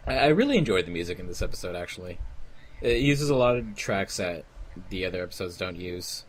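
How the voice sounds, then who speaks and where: A man's voice: deep voice, expressive, fairly quick. A man giving casual group commentary as a member of a fandub crew.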